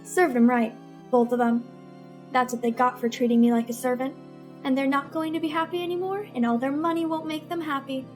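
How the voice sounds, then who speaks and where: Woman acting a teenage girl, very high voice, resentful under feigned innocence, theatrical, radio melodrama, faint music. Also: fairly even intonation, a little fast, lightly acted. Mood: bitterly gloating. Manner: emotional, theatrical. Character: resentful sixteen-year-old girl, slyly playing hurt innocent.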